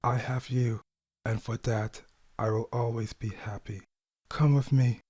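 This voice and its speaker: A man voicing a gentle, loving middle-aged father pony in an audio story, speaking in an earnest, tender, measured way. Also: medium pitch, steady tone, dry recording, lightly acted. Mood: tender, reassuring.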